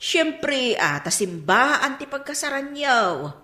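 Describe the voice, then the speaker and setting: A woman's voice: high voice, big pitch swings, slow pace, pushed and bright, roomy sound, heavily acted. A woman playing a questioning, exasperated mother in a radio drama.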